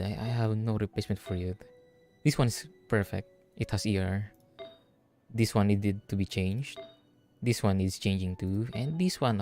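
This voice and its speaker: A man's voice: on the low side, a little mellow. A man from the Philippines with a Filipino accent, thinking aloud in casual livestream game commentary. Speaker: streamer. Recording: game music behind the voice. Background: video game music.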